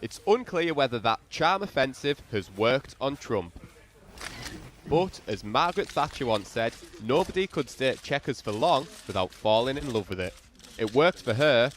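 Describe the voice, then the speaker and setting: A man with a Mancunian accent, a TV news reporter delivering a formal, measured news report. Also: medium pitch, lively intonation, a bit bright, a little hiss or hum.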